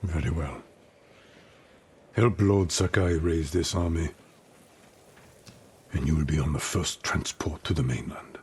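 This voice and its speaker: An actor playing a stern, honourable older samurai lord in a game cutscene, speaking in a formal, grave, measured way. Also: male voice, extremely low pitch, darkish tone, slightly rough, exaggerated voice. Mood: cold, commanding. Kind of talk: dramatic game cutscene acting.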